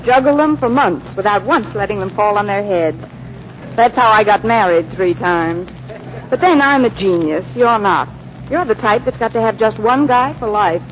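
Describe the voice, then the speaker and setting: An actress playing a brassy, bossy, worldly roommate with dry wisecracks in 1940s radio comedy style. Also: female voice, medium pitch, lively intonation, normal speed, natural voice. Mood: wry, boastful. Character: wisecracking, worldly, much-married female roommate. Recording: dull, noisy 1940s radio broadcast.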